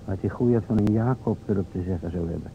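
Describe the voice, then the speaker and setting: A man's voice: low-pitched, fast talker. An actor playing a short, fat, stolid and easily dismayed policeman in an old radio play. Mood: stolid, musing. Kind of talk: old-time Dutch radio play acting. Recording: dull old radio recording, clear hiss.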